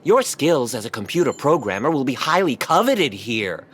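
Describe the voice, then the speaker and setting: An actor in an audio play voicing a grandiose Chinese government bureaucrat who pitches like a salesman. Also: big pitch swings, moderate pace, a bit bright, breathy edge. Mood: persuasive, grandiose. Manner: salesman-like, theatrical, list-heavy pitch.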